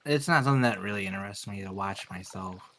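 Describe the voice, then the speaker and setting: A man's voice: low voice, fast, sharp tone, slight background noise. A man chatting casually on a gaming stream, rambling and filling his talk with "like". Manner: rambling, heavy use of "like". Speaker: streamer co-host.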